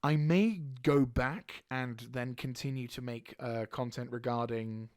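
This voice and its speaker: A man with a slightly hoarse throat giving a casual channel update as a gaming YouTuber, rambling and halting with frequent restarts. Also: medium pitch, a little mellow, dry recording, studio quality.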